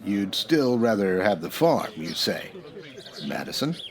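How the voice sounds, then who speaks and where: An actor playing a steady, patient Old West marshal in a radio western, straight-faced and measured. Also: male voice, fairly low pitch, creaky, exaggerated voice. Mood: calm.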